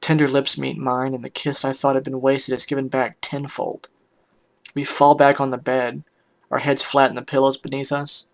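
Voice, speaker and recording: Guy doing a heartbroken pony musician telling their story, crackly voice, halting, emotional and kinda hushed. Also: mid-range voice, little pitch movement, creaky, close to an everyday voice. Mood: tender, resolute. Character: heartbroken pony musician narrating, bitter, weary, tender. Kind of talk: dramatic first-person fan fiction reading.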